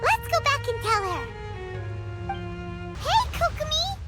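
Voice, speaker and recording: A woman voicing a small, bubbly, naive fairy companion in a video game, with a squeaky, exclamatory delivery. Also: sing-song, slow, over music, exaggerated voice. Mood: excited. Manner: refers to self in third person, exclamatory.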